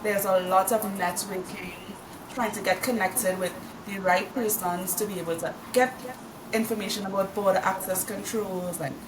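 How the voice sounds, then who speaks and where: A woman's voice: mid-range voice, steady tone, noisy background. Woman, distant roomy mic. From Trinidad and Tobago. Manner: measured, earnest explanations. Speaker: podcast guest, business consultant. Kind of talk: casual interview.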